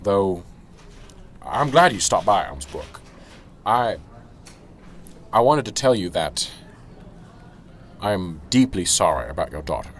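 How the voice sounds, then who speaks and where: A man's voice: low voice, sing-song, slow pace, pauses often, a bit bright, a little hiss or hum, heavily acted. A man playing a middle-aged, corrupt small-town police sergeant in an amateur radio drama. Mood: chummy, solemn. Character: corrupt small-town police sergeant, chummy until cornered, then indignant.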